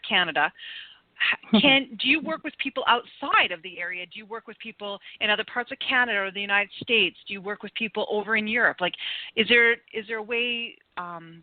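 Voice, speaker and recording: A woman's voice: medium pitch, dry recording, phone-quality sound. A woman hosting a conversational interview show, effusive and encouraging in the way she talks. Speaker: show host.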